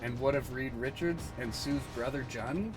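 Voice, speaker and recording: A man's voice: mid-range voice, moderate pace, over music, rough recording. A man narrating a comic book dramatically, with a theatrical, suspenseful delivery.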